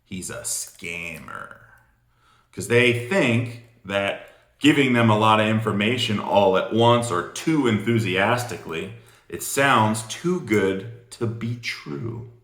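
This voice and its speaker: Guy coaching sales, bright punchy voice, blunt and informal, repeats stuff for emphasis, roomy echo. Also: fairly low pitch, expressive, takes it slowly. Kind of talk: instructional talk on phone sales technique. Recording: roomy, echoing room sound.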